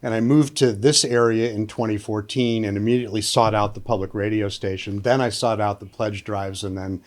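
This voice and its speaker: Low-voiced man hosting a radio chat. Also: fairly even intonation, brisk. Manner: friendly interviewer, laughs easily, fillers. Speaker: radio host interviewing colleagues. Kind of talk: casual radio studio chat.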